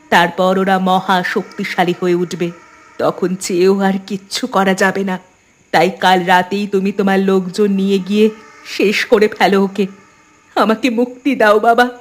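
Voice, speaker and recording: A woman's voice: medium pitch, natural voice. A woman voicing a young daughter's ghost in a cartoon drama, plaintive and sorrowful yet vengeful.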